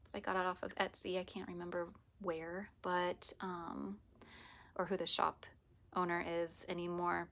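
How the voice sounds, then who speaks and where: Soft-spoken raspy woman, gravelly. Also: lowish voice. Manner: soft, meandering, often drops to a whisper. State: hoarse from teaching all day. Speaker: reiki practitioner. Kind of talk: casual spiritual vlog talk.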